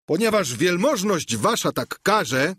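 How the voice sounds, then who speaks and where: Guy doing a whiny loud peasant squire from a storybook, high husky voice, haggles and grumbles dramatically. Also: very animated, slow pace, no echo, clean studio sound, exaggerated voice. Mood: insistent, whining. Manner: exclamatory, whining, haggling.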